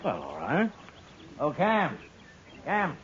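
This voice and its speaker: A man's voice: a little high, huge pitch swings, takes it slowly, a little hiss or hum, heavily acted. An actor playing a slippery, self-important townsman in a 1950s radio western, unctuous and hesitant. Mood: uneasy. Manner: hesitant, unctuous, indignant when challenged. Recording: muffled 1950s radio recording.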